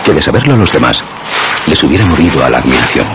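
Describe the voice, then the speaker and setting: A man with a Castilian Spanish accent narrating a radio suspense drama in measured, literary storytelling. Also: extremely low pitch, sing-song, very noisy. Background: music.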